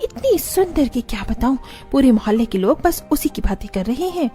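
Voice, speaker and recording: A woman voices an excitable cartoon housewife full of gossip. Her pitch is high and her delivery emphatic. Faint music plays underneath. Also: moderate pace. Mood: excited. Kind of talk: scripted cartoon story dialogue.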